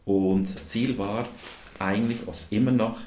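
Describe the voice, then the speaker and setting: A man's voice: fairly low pitch, fairly even intonation, normal speed, darkish tone, a little hiss or hum. A man who grew up in India and whose first language is English, answering interview questions as a charity volunteer with many hesitations and filler sounds. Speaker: guest, dental technician, charity volunteer. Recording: roomy echo.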